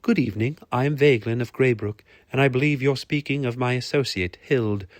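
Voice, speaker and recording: Guy doing a young lady of a manor for an audiobook, pitched up, polite, demure, dry sound. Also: brisk, no room sound, close to an everyday voice. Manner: polite, demure, matter-of-fact. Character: young noblewoman, polite, hesitant yet businesslike.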